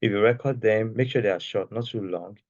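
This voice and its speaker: Soft-spoken man with a low voice. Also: brisk, dry recording, highs cut off. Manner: soft-spoken, loose and repetitive, checks in with "okay?". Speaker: course creation instructor. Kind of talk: screen-share tutorial, casual. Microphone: external microphone.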